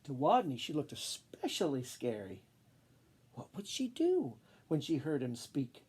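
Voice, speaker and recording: A man reading a children's picture book aloud in an expressive storytelling style. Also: on the high side, a little slow, slightly rough.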